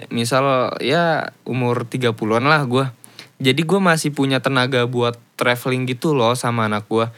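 A man's voice: medium pitch, a bit bright, no room sound. A young man, hosting a casual podcast chat, slangy and swearing freely as he asks questions. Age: young adult.

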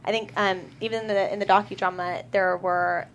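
A woman's voice: mid-range voice, steady tone, brisk. A woman speaking casually as a writer on a discussion panel. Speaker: screenwriter being interviewed. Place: indoor studio panel. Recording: clear room noise.

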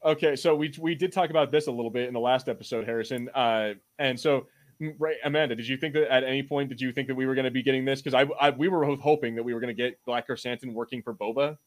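A man co-hosting a podcast in casual chat, talking like an excitable fan and often repeating and rephrasing himself. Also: mid-range voice, quick pace. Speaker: podcast co-host.

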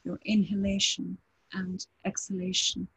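A woman teaching a guided breathing meditation in a slow, calm, instructive way. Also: mid-range voice, slight background noise, rough recording.

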